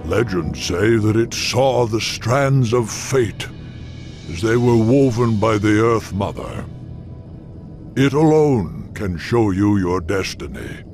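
Big old minotaur chief for a video game, proud n solemn, slow talker, faint music behind. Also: male voice, on the low side, expressive, a little mellow. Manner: slow, solemn, formal speech. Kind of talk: fantasy video game acting.

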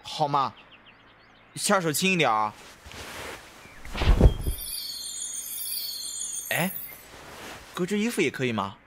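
A male actor playing a feisty, touchy but soft-hearted young man in a Chinese costume audio drama. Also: a little high, sing-song, normal speed, noisy background. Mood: sulky.

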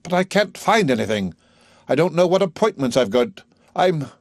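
An irascible professor who explodes at every turn, as a man puts him on. His voice soars high and lurches up and down in furious, blustering bursts, close and dry. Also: big pitch swings, normal speed, no echo. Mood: agitated. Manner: explosive, indignant outbursts. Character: blustering male professor, irascible, self-pitying.

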